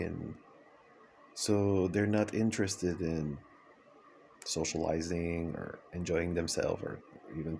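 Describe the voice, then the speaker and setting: A man's voice: deep voice, dark tone, slight background noise. A man whose first language is French, speaking English in a reflective personal vlog, hesitant and full of fillers and restarts. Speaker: vlogger.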